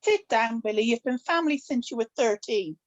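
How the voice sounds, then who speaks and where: High-voiced, gentle older grandmother, played by an actress. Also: lively intonation, brisk, lo-fi sound, close to an everyday voice. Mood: firm, guarded. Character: older grandmother, gentle but secretive and firm. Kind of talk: scripted table-read acting.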